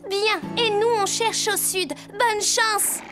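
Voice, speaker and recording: A woman's voice: very high voice, fairly even intonation, takes it slowly. A woman voicing an eager, alert young girl horse rider in a French cartoon dub. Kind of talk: French animated series dub. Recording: loud music under voice.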